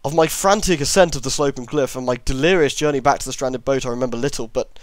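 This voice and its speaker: Male amateur narrator, mid-pitched, horror story reading, dry sound, occasional stumbles on long words. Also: fast talker, no room sound. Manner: reads with stumbles over long words, self-correcting.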